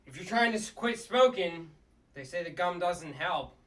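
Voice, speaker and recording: A man voicing a bored, wisecracking young woman in a drawling falsetto. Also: lively intonation, normal speed, fairly bright tone, recorded from a distance. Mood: dry.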